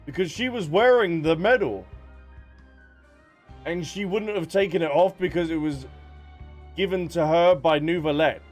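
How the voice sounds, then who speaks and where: A man's voice: fairly high pitch, a little slow, long pauses, faint music behind. A man casually commentating as he live-streams a video game.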